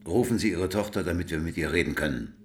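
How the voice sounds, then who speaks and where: An actor playing a calm, wry police detective in a radio crime drama, speaking in a measured, dry way. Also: male voice, sits low. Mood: placating. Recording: faint background ambience noise.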